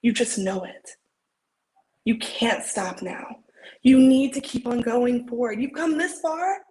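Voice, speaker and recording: A woman's voice: on the high side, takes it slowly, darkish tone, low-quality recording. A woman performing a stage monologue as an earnest, supportive woman, heartfelt and emphatic as she encourages a loved one. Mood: urging.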